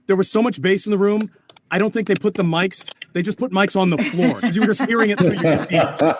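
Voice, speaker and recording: A man with a high voice reminisces casually as a panel guest. The recording carries faint noise. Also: brisk.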